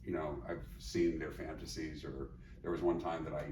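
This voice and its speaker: Middle-aged man, very low voice, thoughtful interview guest, roomy echo, sits back from the mic. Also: flat delivery, normal speed. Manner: thoughtful, meandering, many fillers and restarts. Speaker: psychologist and teacher, podcast guest. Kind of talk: reflective interview conversation. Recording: echoey room, mic at a distance, faint noise.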